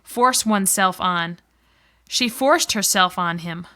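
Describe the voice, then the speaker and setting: A woman reciting phrases for a language lesson with careful, clear enunciation. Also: medium pitch, slow pace, sharp tone. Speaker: phrase reader.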